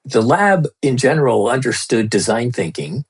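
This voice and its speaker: Husky man explaining at an easy pace. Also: mid-range voice, sing-song, normal speed. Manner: loose, with many fillers and restarts. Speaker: guest, storytelling lead at a space lab. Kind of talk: conversational interview answers.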